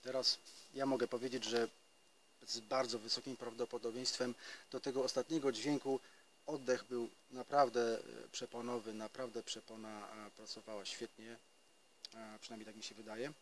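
A man's voice: medium pitch, flat delivery, normal speed, a bit bright, slight background noise, rough recording. A man in his thirties giving a casual spoken trumpet lesson outdoors in a forest, explaining step by step with hesitations and restarts. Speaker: trumpet teacher.

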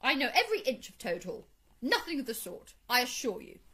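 Woman playing a male toad, boastful, childish, dramatic, high and swooping, storybook character. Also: very animated, fairly quick, sharp tone, husky, heavily acted. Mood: indignant, dismissive.

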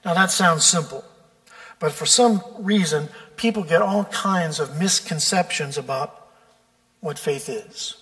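A male pastor delivering a sermon in a church, measured and deliberate, stretching out key words for emphasis. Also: a little high, fairly bright tone. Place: church sanctuary. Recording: long church reverb.

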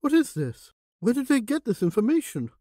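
An actor playing a hot-headed male junior minister who shouts frantically from across the room in a radio comedy. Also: very high-pitched, huge pitch swings, moderate pace, no room sound, clean studio sound, heavily acted. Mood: frantic.

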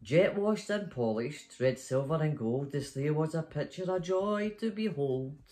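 A woman's voice: sits low, expressive, measured pace, warm tone. A middle-aged woman, hosting story time and reading a children's picture book aloud in a bedroom. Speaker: storytime host. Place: small bedroom, sitting up in bed.

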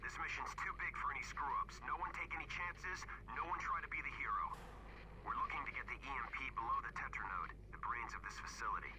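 A man's voice: medium pitch, quick pace, noisy background, lightly acted. A man playing a stern radio commander. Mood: commanding. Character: male military commander briefing marines over radio. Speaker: squad commander.

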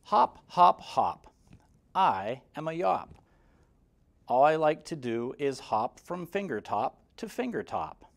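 A man's voice: a little high, big pitch swings, slow pace, creaky, exaggerated voice. A man voicing a bouncy, carefree little hopping creature from a storybook, in a punchy, rhythmic delivery. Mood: bouncy, playful.

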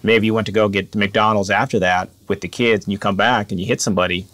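Low-voiced man hosting a podcast. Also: fairly even intonation, quick pace, a bit bright, dry recording. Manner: conversational, asks probing questions. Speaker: podcast host. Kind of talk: interview hosting.

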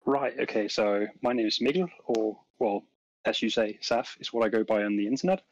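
A man in his early twenties from Denmark, a software engineering student introducing himself casually. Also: lowish voice, brisk, no room sound, dull audio. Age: 20s. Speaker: interviewee. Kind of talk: casual interview answer.

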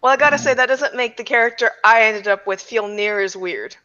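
A young woman co-hosts a casual chat in a bright, slightly high voice. She is on a headset over a video call, and the sound is a little dull. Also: brisk. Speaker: podcast host. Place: small room at home, video call.

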